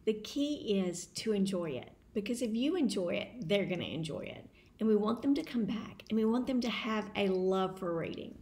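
A woman's voice: medium pitch, expressive, moderate pace, darkish tone. A middle-aged woman, a teacher giving read-aloud tips to camera. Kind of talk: instructional talk to camera. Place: home kitchen and dining room. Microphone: camera at table distance. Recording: roomy echo, faint background noise.

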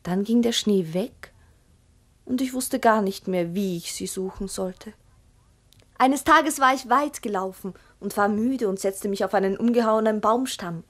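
A woman's voice: mid-range voice, a little slow, natural voice. An actress playing an innocent, earnest young farm girl, telling her story simply and childlike in a 1960s German radio play. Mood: earnest, wistful.

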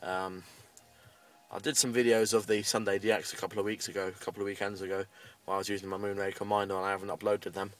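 Low, even-toned British man, distant mic. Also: fast talker, faint music behind. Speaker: amateur radio hobbyist making a video update. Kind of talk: casual vlog update. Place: inside a parked car.

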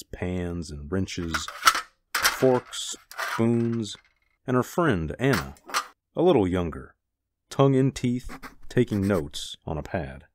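A man's voice: low-pitched, noisy background, heavily acted. A man playing the wry storyteller in a radio drama, narrating dramatically from a script.

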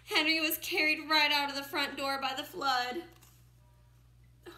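A woman in her twenties reads a picture book aloud like a teacher to young children, expressively, pausing to comment and ask questions. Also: high voice, steady tone, bright, forceful tone. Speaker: first-grade teacher reading aloud. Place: small room at home, indoors by a window. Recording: roomy, echoing home recording.

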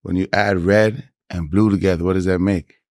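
Man, Toronto accent, very deep voice, dry close mic, laid-back storytelling. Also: moderate pace, no echo. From Canada. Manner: laid-back, animated storytelling with slang asides. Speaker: podcast guest. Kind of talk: casual interview chat.

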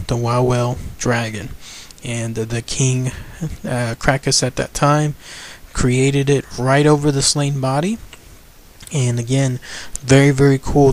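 A man, the channel's host, casually narrating a legend over photos in an enthusiastic, rambling way with frequent fillers. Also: medium pitch.

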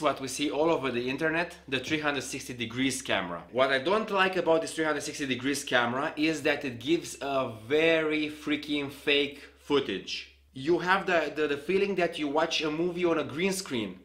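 Bright-voiced young man explaining gear. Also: on the high side. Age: young adult. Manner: explains hands-on, demonstrating gear while talking. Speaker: vlogger. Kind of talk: casual gear tutorial. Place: small home room with desk. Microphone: camera on a desk, facing him.

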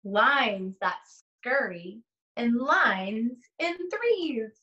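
A woman's voice: fairly high pitch, expressive, drawn-out words, bright, forceful tone, highs cut off. A young adult woman, an art teacher, leading a read-aloud lesson for children with simple questions and prompts.

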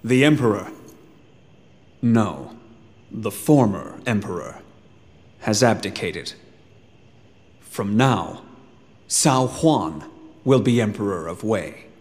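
Casting: calculating young noble son, formal, unhurried, reverberant hall, game cutscene. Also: male voice, lowish voice, lively intonation, a little mellow. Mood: composed. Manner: formal, composed, deliberate. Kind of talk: English video game cutscene dub. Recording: long hall reverb, faint music.

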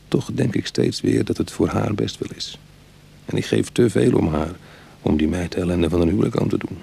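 A man playing a roguish, world-weary reformed burglar in a radio drama, speaking in a musing, colloquial way with a husky, gravelly voice. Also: deep voice, mellow, heavily acted. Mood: wistful, confiding. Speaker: actor in a radio play. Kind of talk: Dutch radio drama acting, dialogue and confessional narration.

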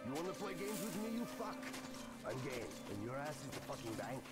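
An actor playing a menacing, swaggering bandit gang boss in a video game, threatening and profane. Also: male voice, fairly high pitch, very animated, fairly quick. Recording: echoey game audio under loud music.

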